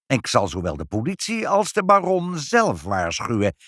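A man voicing an excitable, accusing male busybody in a storybook, speaking in emphatic, agitated exclamations. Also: mid-range voice, normal speed, dry recording, studio quality, pitched above the performer's own voice. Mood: triumphant. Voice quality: unpleasant, agitated voice. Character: excitable, triumphant, accusing nosy male neighbour.

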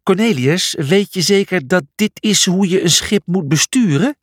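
A man's voice: high-pitched, lively intonation, moderate pace, dry recording, studio quality, pitched above the performer's own voice. A man voicing a bossy, determined young male zebra gladiator in a children's storybook, urgent and exasperated. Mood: worried, shouting.

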